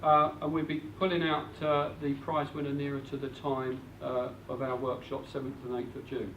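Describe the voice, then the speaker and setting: A man's voice: mid-range voice, monotone, moderate pace, a bit bright. A man presenting at a live seminar, practical and matter-of-fact, with frequent fillers. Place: meeting room with an audience. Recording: echoey room, distant mic, faint hiss.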